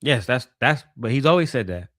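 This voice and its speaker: A woman voicing a laid-back male friend who gossips and agrees along in an easy, low drawl. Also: expressive, brisk, dry recording, clean studio sound. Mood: agreeable.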